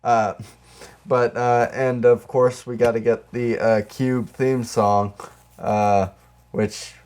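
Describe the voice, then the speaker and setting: A man's voice: medium pitch, flat delivery, slow pace, darkish tone, clean tone. A man co-hosting a podcast, chatting casually about movies and joking around with riffs. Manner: joking, riffing with mock quotes. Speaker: podcast co-host.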